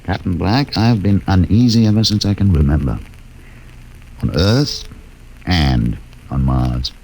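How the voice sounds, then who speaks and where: An actor playing a fatalistic, dryly wry male ship's doctor in a 1950s radio drama, speaking in measured tones. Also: low-pitched, darkish tone, slightly rough, full character voice, rough recording.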